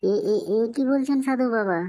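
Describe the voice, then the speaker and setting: A woman's voice: high-pitched, normal speed, warm tone, no echo, close to an everyday voice. A woman voicing a hapless, pleading young male swindler in a Bengali comedy cartoon. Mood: alarmed. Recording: dull lo-fi, very dry cartoon dub.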